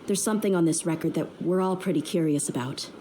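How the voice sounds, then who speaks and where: A woman's voice: fairly low pitch, fast talker, mellow, a little hiss or hum, natural voice. An actress playing a tough, candid young female mercenary who speaks in a direct, earnest, polite way, over game music.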